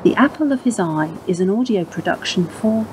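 A woman announcer reading scripted credits in a measured narration style. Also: medium pitch, lively intonation, darkish tone, slight background noise, low-quality recording.

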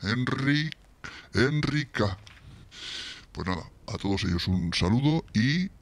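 A man's voice: lively intonation, takes it slowly. A man in his forties with a European Spanish accent, chatting informally and jokily to camera through a voice modulator, close on the microphone. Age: 40s. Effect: voice modulator deepening the voice. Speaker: YouTube host, football fan channel. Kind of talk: casual chat to camera. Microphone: close vintage-style studio microphone.

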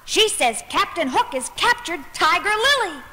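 A woman's voice: extremely high pitch, big pitch swings, moderate pace, pushed and bright, heavily acted. A woman playing a brave, earnest young boy adventurer in a children's story recording. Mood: alarmed. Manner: urgent, earnest. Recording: reverberant with clear background noise.

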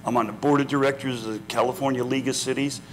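A man's voice: medium pitch, very fast, a little hiss or hum. A man in his sixties, a city councilman running for office, steadily listing his credentials in a panel debate on a lapel mic in a community hall. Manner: lists credentials, steady, with uh fillers. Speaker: political candidate, city councilman. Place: community center hall with audience.

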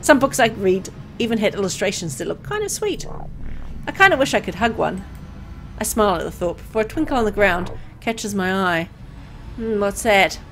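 A woman reading a visual novel aloud as dramatic scripted narration, with an expressive storytelling delivery. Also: medium pitch, normal speed, faint music behind, low-quality recording.

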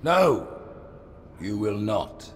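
A man's voice: fairly low pitch, very animated, drawn-out words, very noisy, full character voice. A man playing a grave, commanding alien hierarch in a video game, speaking formally and solemnly. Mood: stern judgment. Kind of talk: dramatic video game cutscene acting. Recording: game audio with loud background score.

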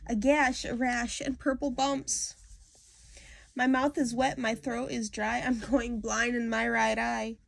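A woman puts on a little girl from a storybook poem, high and loud. She is plaintive and melodramatic, complaining like a great sufferer. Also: gravelly, full character voice. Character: whiny little girl dramatically listing her ailments.

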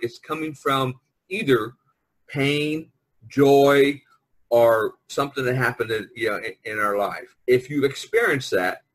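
Older man, husky, rambling chat. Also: mid-range voice, dry recording, low-quality recording. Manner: rambling, often says "you know". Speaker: artist hosting a group podcast. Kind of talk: casual group chat. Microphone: online video conference call.

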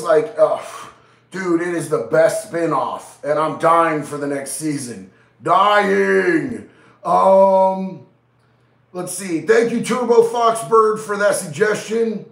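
A man's voice: high voice, fairly bright tone, reverberant, distant mic. A man in his thirties with a stuffy, nasal head cold, hosting a casual livestream and reading out viewers' chat in a laid-back, slangy way. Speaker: livestream host. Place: small indoor studio with neon lights.

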